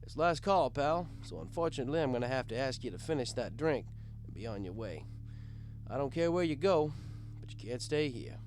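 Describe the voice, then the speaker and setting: A man's voice: medium pitch, lively intonation, a little fast, darkish tone. A man narrating a first-person story as a bartender, conversational and wry. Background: guitar music.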